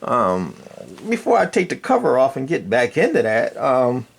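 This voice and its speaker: Older man, gravelly fry, mid pitch, casual hobbyist walkthrough, folksy, digressive, dryly sarcastic. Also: wildly swooping pitch, moderate pace. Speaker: radio hobbyist presenter. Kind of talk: casual rambling bench walkthrough and storytelling.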